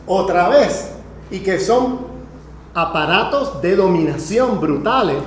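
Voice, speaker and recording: A man's voice: high-pitched, expressive, slow. A man giving an improvised academic lecture, emphatic and didactic, checking in with short tag questions. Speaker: lecturer teaching a class. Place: indoor lecture room. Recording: very echoey room, faint noise.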